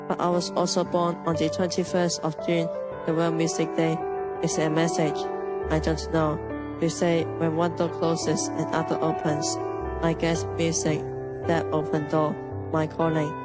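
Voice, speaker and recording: A woman giving a simple, reflective voice-over in a personal video. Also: low voice. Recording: loud music behind the voice. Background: loud music.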